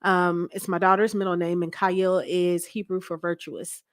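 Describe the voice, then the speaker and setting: A woman's voice: fairly low pitch, flat delivery, moderate pace, no room sound, studio quality. A middle-aged American woman telling personal stories conversationally as an interview guest. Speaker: interview guest, church first lady and youth mentor.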